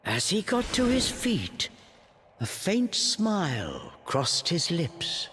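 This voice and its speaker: A man narrating a storybook in a measured, expressive way. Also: a little high, noisy background.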